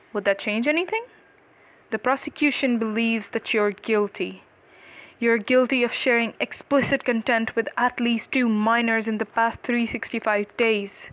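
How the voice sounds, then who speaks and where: A woman narrator voicing a stern, cold prosecuting lawyer in a dark story, measured and admonishing. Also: on the high side, fairly even intonation, muffled recording, close to an everyday voice. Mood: stern, accusing.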